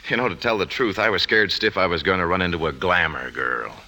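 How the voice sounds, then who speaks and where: A man's voice: low-pitched, fairly quick, sharp tone, heavily acted. An actor playing a bashful, plain-spoken, easygoing male suitor in a 1950s radio drama, with a folksy, hesitant manner. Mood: bashful, friendly.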